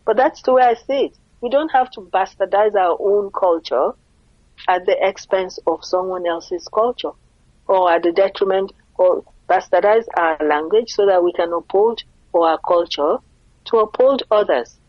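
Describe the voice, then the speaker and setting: A woman's voice: medium pitch, normal speed, dry recording, highs cut off. A middle-aged Nigerian woman with a Nigerian accent, speaking earnestly as an interview guest and often asking rhetorical questions. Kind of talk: conversational interview.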